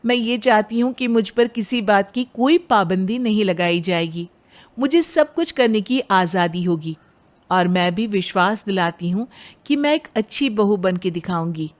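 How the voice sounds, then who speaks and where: A young, educated bride in a moral tale, acted by a woman, who speaks up for herself with determination. She stays respectful and carefully spoken while standing firm, in a middle-pitched voice. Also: expressive, moderate pace, lightly acted. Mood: firm, polite. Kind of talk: dramatized Hindi moral story.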